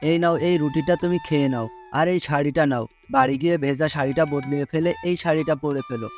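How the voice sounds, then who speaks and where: A kind, weary poor farmer husband in a cartoon, with a slightly raised, plain voice, as a man acts him out. He talks patiently, grumbling now and then, over lively background music. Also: a little high, quick pace, lightly acted.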